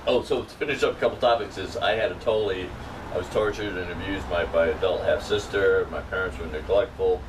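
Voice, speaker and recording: Guy from upstate new york rambling thru a story, lowish voice, mic far off, hum behind him. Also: a little fast. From Norwich, New York. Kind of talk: casual storytelling chat. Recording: distant mic with steady background noise.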